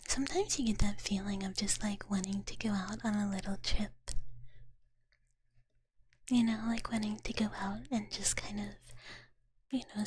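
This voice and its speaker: A woman whispering softly and breathily close to the mic in a gentle, cutesy way, chatting casually as an ASMR host. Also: mid-range voice, no room sound. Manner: gentle, cutesy, speaks of herself in third person. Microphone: close ASMR microphone. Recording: clear noise, clicking trigger sounds.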